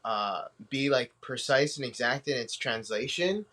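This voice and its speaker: A man's voice: mid-range voice, expressive, a little slow, sharp tone, a little hiss or hum. A young man from Hawaii, a podcast guest talking in a laid-back, slangy way with lots of fillers. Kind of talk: casual chat.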